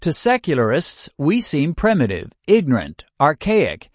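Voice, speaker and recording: An older man giving a scripted first-person reading, speaking in a deliberate, measured cadence and working through long lists. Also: on the high side, huge pitch swings, dry recording, clean studio sound.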